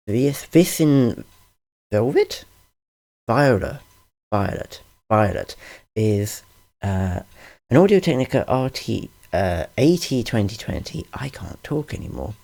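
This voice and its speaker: Deep, gravelly man; slow, rambling, self-correcting tutorial host, dark tone, studio condenser mic. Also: very animated. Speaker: channel host explaining audio gear. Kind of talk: casual screen-recorded tutorial. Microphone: large-diaphragm studio condenser.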